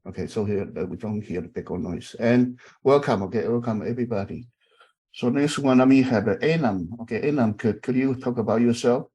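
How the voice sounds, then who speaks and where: Halting, mellow-voiced older instructor. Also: male voice, medium pitch, slightly rough. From Taiwan. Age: middle-aged. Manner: halting, many fillers and repeated okays. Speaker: university instructor. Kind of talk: online class, informal chatting. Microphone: video call.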